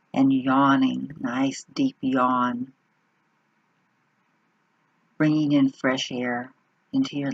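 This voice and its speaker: A woman leading an unscripted guided meditation in an unhurried manner, pausing between short phrases. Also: extremely low pitch, breathy edge, no room sound, lo-fi sound.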